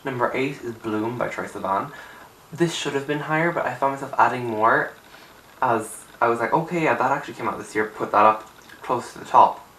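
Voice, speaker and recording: A young man speaks with a raspy, just-out-of-bed voice, rambling and emphatic as he gives his opinions. He sits back from the camera in a small room, so the sound is roomy and a little distant. A faint hiss runs underneath. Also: medium pitch, fairly quick, bright, forceful tone. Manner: chatty, rambling, emphatic with filler "like". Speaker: music vlogger. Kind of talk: casual opinion chat to camera. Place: small untidy room indoors.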